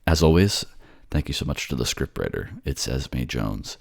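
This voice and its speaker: A man's voice: rumbling low, expressive, normal speed, vocal fry. A man who voice acts for his own channel, speaking directly to his listeners as narrator and creator. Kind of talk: narration and casual sign-off to listeners.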